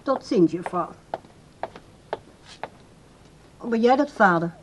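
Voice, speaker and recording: An actress playing a controlling grown daughter in a radio drama, speaking in a brisk, formal, placating way. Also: female voice, mid-range voice, expressive, slight background noise, lightly acted, rough recording. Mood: polite, dismissive. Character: polite but evasive, controlling adult daughter.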